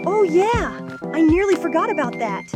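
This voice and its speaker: An actor voicing a casual, excitable young kid hero in a cartoon comic dub. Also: very high voice, moderate pace, loud music behind the voice. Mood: easygoing.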